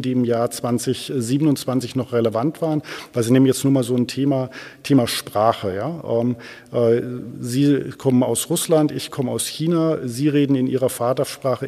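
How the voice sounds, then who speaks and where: A man's voice: mid-range voice, mellow. A man giving discursive expert explanations as an interview guest, often adding little tag questions. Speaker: interview guest, transformation researcher. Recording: long hall reverb.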